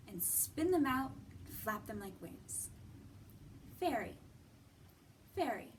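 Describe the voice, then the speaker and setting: Slow, higher-voiced woman leading children's storytime. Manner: deliberate, encouraging, asks questions and pauses. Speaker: library storytime host. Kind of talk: children's lesson and read-aloud. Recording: distant mic with clear background noise.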